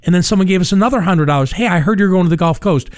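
A middle-aged man with a softened New York accent, telling stories in an animated, casual conversational way. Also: sits high, very fast. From New York, United States. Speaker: ministry leader telling its story. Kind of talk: casual radio interview conversation.

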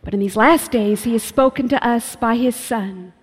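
A woman Bible teacher speaking in deliberate, measured phrases as she teaches and recites scripture. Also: fairly high pitch, breathy edge.

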